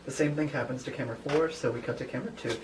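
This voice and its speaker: A man with a mid-pitched voice reads stage directions in an informal narrating style. The recording is noisy and he sounds some distance from the microphone. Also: racing.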